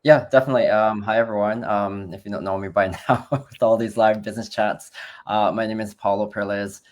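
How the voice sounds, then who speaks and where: A man in his forties, born in the Philippines, speaking on a video call about his online business in a relaxed way, with many fillers and restarts. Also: fairly low pitch, brisk. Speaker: guest business partner. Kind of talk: casual online business chat.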